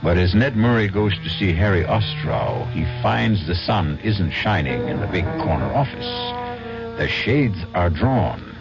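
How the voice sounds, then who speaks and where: A man's voice: sits low, big pitch swings, unhurried, creaky. A man hosting and narrating a radio drama in a scripted, theatrical and wry style. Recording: dull 1970s radio broadcast. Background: music.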